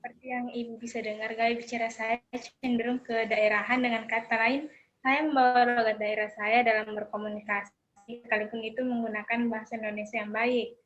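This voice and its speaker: A woman's voice: fairly high pitch, little pitch movement, a little fast. Hesitant young woman on a lo-fi call. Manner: hesitant, with soft fillers. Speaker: counseling client in a role-play. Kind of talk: scripted counseling role-play. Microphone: online call. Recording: dull lo-fi online call audio.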